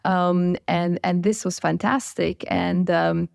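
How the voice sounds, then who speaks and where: A woman's voice: on the low side, steady tone, takes it slowly, vocal fry, a little mellow, no echo, clean studio sound. A woman in her forties from Croatia, a biotech chief executive answering interview questions thoughtfully, with frequent fillers and restarts. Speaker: biotech CEO, guest.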